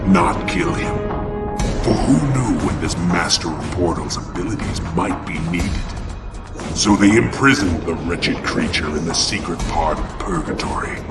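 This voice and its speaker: A man's voice: deep voice, distant mic. A man playing a bitter, vengeful half-angel, half-demon outcast in a theatrical, sardonic video game cutscene monologue. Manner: theatrical, brooding, deliberate, sardonic.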